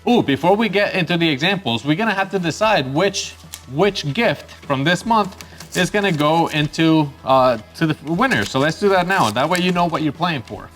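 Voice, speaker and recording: A man from Costa Rica hosting his photography channel in a chatty, upbeat way, with bursts of excitement. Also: fairly high pitch, moderate pace, soft background music. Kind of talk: casual YouTube presenting.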